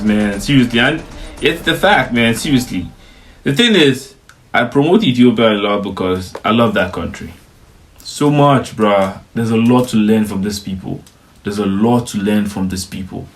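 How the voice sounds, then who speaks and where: Young african guy hyped up reacting to a video, says bro a lot, mid-pitched voice. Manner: emphatic, repeats "bro" and "you get me". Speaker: vlogger reacting to footage. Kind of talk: casual reaction commentary.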